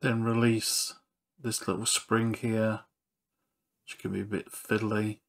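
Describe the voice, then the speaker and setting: Low-key man with slow, flat delivery. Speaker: repair channel presenter. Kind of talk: hands-on repair walkthrough narration.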